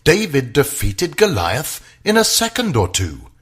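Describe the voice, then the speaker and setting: A man narrating a rhyming children's bedtime story in a theatrical, sing-song way, with a husky voice. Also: a little high, wildly swooping pitch, measured pace, fairly bright tone.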